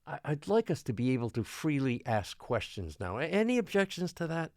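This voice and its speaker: A harried island mayor addressing a public meeting. His pitch moves around animatedly as he stammers and pleads for calm. The drama recording is close and dry. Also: a little fast, no room sound. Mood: earnest, hesitant. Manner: placating, stammering, hesitant.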